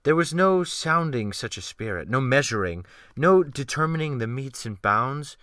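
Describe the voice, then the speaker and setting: A man narrating a classic novel as a dramatic audiobook, with deliberate, expressive phrasing. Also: medium pitch, no room sound, studio quality. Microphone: close USB desktop microphone.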